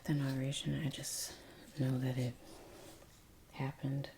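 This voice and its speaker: Slow, low whispering ASMR woman. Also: level tone, a little hiss or hum. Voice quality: breathy whisper. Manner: unhurried, trailing off, murmured musing. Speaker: artist, ASMR video creator. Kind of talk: soft-spoken ASMR painting chat. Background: soft brush and painting sounds.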